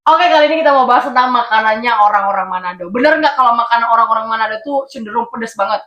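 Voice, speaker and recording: A young woman with a high voice hosts a relaxed chat, teasing her guest and laughing easily. She talks straight to her viewers in a chatty, playful way. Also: fast, highs cut off. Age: young adult. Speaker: host. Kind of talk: casual chat.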